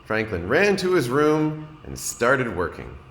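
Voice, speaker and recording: A man, a kindergarten teacher, reading a storybook aloud to young children in an expressive, deliberate way and addressing his listeners. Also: medium pitch. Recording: roomy echo, noticeable background noise.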